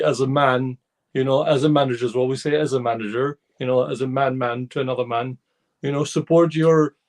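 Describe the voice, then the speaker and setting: A man on a fan show, talking heatedly and rambling with many restarts, his voice slightly hoarse. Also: medium pitch, darkish tone. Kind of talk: casual football fan discussion.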